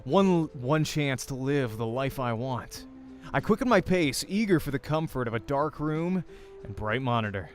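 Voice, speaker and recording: A man reading first-person visual novel narration as a voice actor, informal and quick to comment on his slips. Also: mid-range voice, expressive. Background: faint game music.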